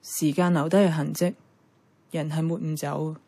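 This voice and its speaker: A woman's voice: low voice, flat delivery, normal speed, mellow, husky. An actress with a Hong Kong Cantonese accent playing a thoughtful, frank young woman in a radio drama. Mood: wistful. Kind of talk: radio drama dialogue and narration.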